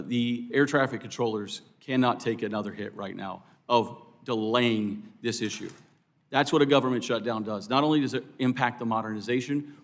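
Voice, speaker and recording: An American man with a mid-pitched voice answers as an expert witness at a formal hearing. He explains in an earnest, emphatic way, speaking off the cuff. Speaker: air traffic controllers' union official testifying as witness. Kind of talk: spontaneous formal testimony. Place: congressional committee hearing room.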